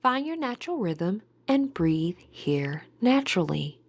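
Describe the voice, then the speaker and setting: A woman guiding a meditation in a soothing, deliberate manner with gentle pauses. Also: fairly low pitch, very animated. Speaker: meditation guide. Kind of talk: guided meditation narration.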